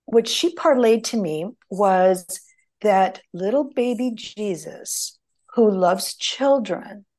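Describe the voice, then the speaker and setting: Slow-talking woman with many restarts. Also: medium pitch, a little mellow. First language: Greek. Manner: rambling, many restarts and fillers. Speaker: guest. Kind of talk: conversational storytelling.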